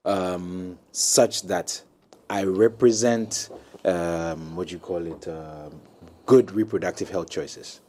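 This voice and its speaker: Low male guest consultant; halting, filler-heavy speech that turns emphatic. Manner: hesitant, many ums, grows animated and emphatic. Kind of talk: panel talk show discussion.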